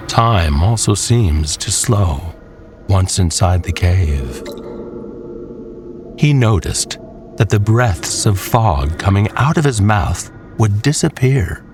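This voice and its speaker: A man narrating a scripted horror tale in a dramatic, measured way with pauses. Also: low voice, background noise. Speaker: storyteller. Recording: music behind the voice. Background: clear background music.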